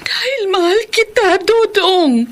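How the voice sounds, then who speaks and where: A woman's voice: very high-pitched, sing-song, slow pace, slight background noise, full character voice. An actress playing a lovesick young woman begging her lover to marry her, tearful and pleading, in a classic radio drama. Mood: confessing. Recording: music bed under voice.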